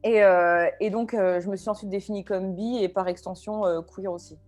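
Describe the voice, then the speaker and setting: A woman's voice: mid-range voice, fairly even intonation, moderate pace, darkish tone, soft background music. Young woman in a hesitant chat. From France. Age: 20s. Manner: hesitant, many fillers and restarts. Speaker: guest. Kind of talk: casual interview talk.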